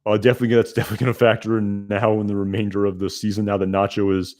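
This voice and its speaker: Loud, rambling young male streamer. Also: on the low side, level tone, racing, faint music behind. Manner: rambling, many fillers and restarts. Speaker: YouTube reviewer hosting a live stream. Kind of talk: casual live-stream chat reading comments.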